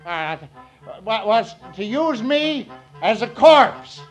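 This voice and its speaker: A man's voice: sits high, big pitch swings, takes it slowly, muffled recording, soft background music, exaggerated voice. An actor playing a meek, simple-hearted drifter in a 1940s radio drama, stammering and folksy as he gets flustered. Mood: flustered, apologetic. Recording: vintage 1940s radio broadcast.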